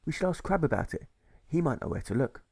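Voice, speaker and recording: A man's voice: a little high, very animated, quick pace, gravelly, a little mellow, husky, no room sound, clean studio sound, pitched above the performer's own voice, full character voice. A man voicing a timid carp in a storybook fable, hesitant and fretful in manner. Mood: pondering.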